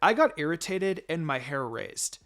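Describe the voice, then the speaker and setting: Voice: mid-range voice, moderate pace. A person reading fan fiction aloud as dramatic first-person narration, acting out the dialogue expressively. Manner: expressive, acts out character lines.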